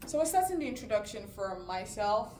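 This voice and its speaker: A woman's voice: mid-range voice, very animated, brisk, mellow, faint music behind. A young woman from Nigeria hosting a casual group chat, talking chattily with lots of fillers. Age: young adult. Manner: chatty, lots of "like" and "you know". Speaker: host. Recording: echoey room with background hiss.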